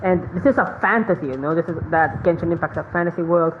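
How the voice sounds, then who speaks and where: A woman with a Filipino accent giving casual, rambling game commentary, halting and repeating phrases. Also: lowish voice. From Philippines. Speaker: game streamer. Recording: phone-like narrow sound, slight noise.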